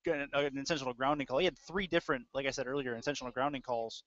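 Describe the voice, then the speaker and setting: A man's voice: medium pitch, steady tone, racing, fairly bright tone, no room sound, muffled recording. A man doing live play-by-play of a football game, describing the action in short clipped phrases. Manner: clipped running description of plays. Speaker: play-by-play sports announcer. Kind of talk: live American football commentary.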